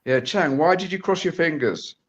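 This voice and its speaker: A man chatting as a guest on a football watch-along over a phone call, halting and restarting often. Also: fairly high pitch. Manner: halting, with many fillers and restarts. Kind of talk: casual chat over video call.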